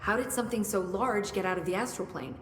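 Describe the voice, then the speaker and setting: A woman's voice: mid-range voice, expressive, fast, natural voice. Actress as curious scientist woman in an echoing hall. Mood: curious, musing. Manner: analytical, thinking aloud in questions. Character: brisk, curious, dryly witty woman research scientist. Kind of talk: video game dialogue. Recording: echoey hall with faint noise.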